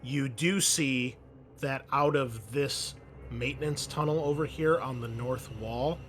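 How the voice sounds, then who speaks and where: A man running a tabletop roleplaying game as game master, narrating scenes haltingly with pauses. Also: medium pitch, a bit bright, faint music behind.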